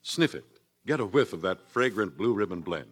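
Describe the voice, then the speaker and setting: A man's voice: fairly low pitch, expressive, moderate pace, slightly rough. An American man announcing a vintage radio commercial in a hearty, folksy salesman's manner.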